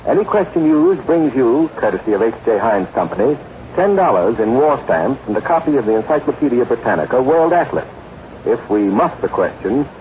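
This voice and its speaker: A man announcing a 1940s radio show, reading sponsor copy in an emphatic, formal manner. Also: mid-range voice. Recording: muffled, noisy 1940s radio broadcast.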